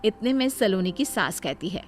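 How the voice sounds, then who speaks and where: A woman's voice: medium pitch, expressive, normal speed. A woman narrating a scripted bedtime story. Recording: music behind the voice. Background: background music.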